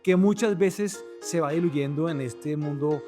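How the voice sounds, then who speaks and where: Brief: male co-host, higher voice, halting casual talk with fillers. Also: dark tone, faint music behind. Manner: hesitant, with many fillers and restarts. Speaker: podcast co-host.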